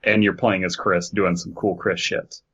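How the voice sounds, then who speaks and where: A man's voice: low-pitched, level tone, moderate pace, a bit bright, breathy edge, highs cut off. A man co-hosting a casual, opinionated discussion podcast, talking in a rambling, explanatory way. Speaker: podcast co-host.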